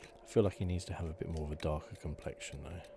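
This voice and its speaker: A man giving casual ASMR commentary on a game playthrough in a hushed, rambling, musing manner. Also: very deep voice, steady tone, fast, gravelly, background noise. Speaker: gaming video host.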